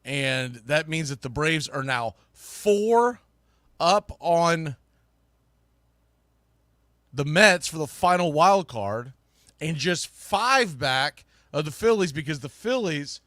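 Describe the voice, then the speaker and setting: Bright, folksy sports host, a man. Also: a little high, takes it slowly, pauses often, no room sound, studio quality. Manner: folksy, excitable. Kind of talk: live sports recap and preview show.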